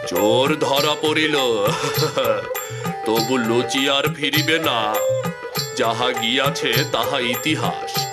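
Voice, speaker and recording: A man's voice: mid-range voice, extremely slow, pauses often, over loud music. A man voicing a pompous cartoon village uncle who makes mock-heroic declarations in archaic formal language. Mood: grandly resolute.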